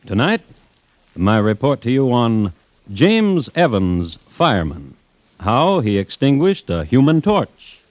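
An actor playing a genteel, dryly morbid crime-story host, narrating in a measured, deadpan, ironic way in old radio drama style. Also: male voice, mid-range voice, no room sound. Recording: noisy, muffled old radio recording.

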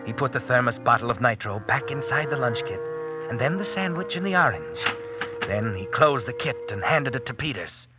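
Male narrator for old radio thriller: medium pitch, hard-boiled scripted storytelling, music underneath. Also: expressive, moderate pace. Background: dramatic music.

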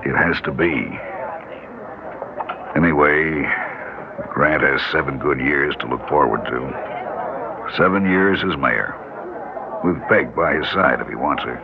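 Very deep, gravelly radio townsman. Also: takes it slowly, pauses often, heavily acted. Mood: grave, resigned. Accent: American. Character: grim, folksy townsman, calmly resigned to duty. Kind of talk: classic American radio drama acting. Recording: muffled, noisy old radio recording.

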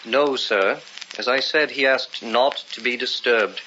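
An actor playing a formal, deferential and uneasy butler in an old-time radio drama. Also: male voice, medium pitch, unhurried, bright, forceful tone. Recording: muffled, noisy old radio recording.

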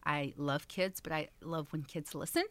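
A woman's voice: deep voice, steady tone, normal speed, a bit bright, dry recording. A woman in her thirties from Texas, a community center director, chatting as a guest with frequent laughs and fillers. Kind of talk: casual interview chat.

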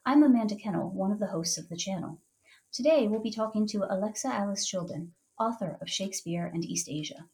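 A woman hosting a book podcast, reading a measured prepared introduction and then asking interview questions. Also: mid-range voice, darkish tone. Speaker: podcast host.

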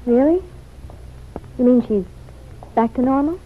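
An actress playing a quick-witted young woman in an old-time radio drama, with a wry, teasing manner. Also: high voice. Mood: curious. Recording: dull, noisy vintage radio recording.

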